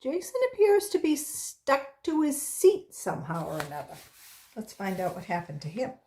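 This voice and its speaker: A woman volunteer reading aloud to children in an expressive storytelling style, acting out the dialogue with emphasis. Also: mid-range voice, normal speed.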